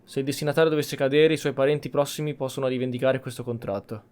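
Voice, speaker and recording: A man's voice: mid-range voice, steady tone, very fast, darkish tone, clean tone. A man from Italy giving roleplay commentary as the host of a gameplay video. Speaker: gamer, channel host.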